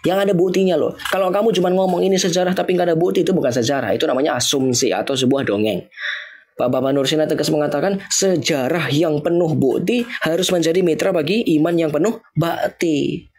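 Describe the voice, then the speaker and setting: A young Indonesian man talks informally to his livestream viewers, repeating his points and mocking the other side. His voice sits high and carries a gravelly rasp. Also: brisk, darkish tone, slight background noise. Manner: emphatic, repetitive, mocking.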